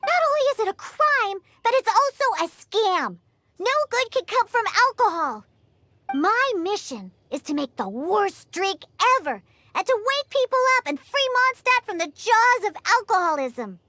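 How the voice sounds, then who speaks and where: A woman's voice: big pitch swings, a little slow, no room sound, exaggerated voice. A woman voicing a feisty young catgirl bartender in a video game, with a childlike voice and an emphatic, indignant manner. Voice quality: high, bright, childlike. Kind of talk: animated video game character acting.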